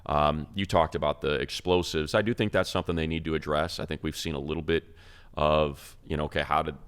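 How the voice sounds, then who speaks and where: This is a man with an extremely deep, clean and resonant voice. He talks like a seasoned sports broadcaster on a panel, analyzing football in a conversational, opinionated way. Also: steady tone, brisk, a bit bright, clear, resonant tone. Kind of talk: casual sports analysis chat.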